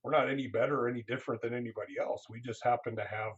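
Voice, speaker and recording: A man's voice: medium pitch, fairly even intonation, racing, dull audio. A man, a counseling professor, speaking earnestly in a casual interview, often restarting his sentences.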